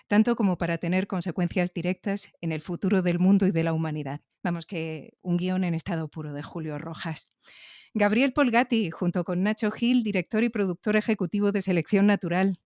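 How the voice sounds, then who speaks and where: A woman's voice: medium pitch, mellow, dry recording, dull audio. A woman hosting an interview podcast, presenting and putting questions to a guest.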